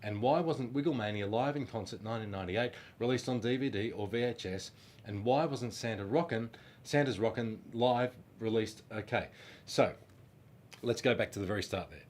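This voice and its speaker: Aussie guy with a cold, stuffed-up nose, kinda low voice, rambly and friendly, faint rumble behind. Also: normal speed, a little mellow. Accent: Australian. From Australia. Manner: friendly, rambling, hesitant with ums. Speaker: former children's band singer answering fan questions. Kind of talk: casual Q&A reading fan questions.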